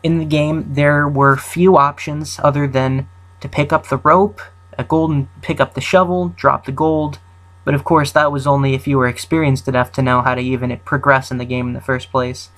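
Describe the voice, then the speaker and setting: Young guy rambling thru a top eight list, lots of ums and restarts, middle pitch. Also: level tone, fairly bright tone. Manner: rambling, halting, many fillers and restarts. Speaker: channel host. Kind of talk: casual unscripted countdown commentary.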